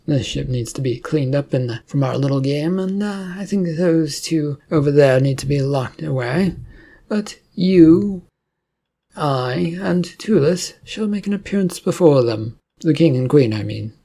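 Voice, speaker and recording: A scheming male alien president with a formal, commanding way of speaking, as the man narrating puts him on. The voice is gravelly and dark, with a husky edge. He sounds like a figure in a read-aloud storybook. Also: a little high, lively intonation, unhurried, exaggerated voice.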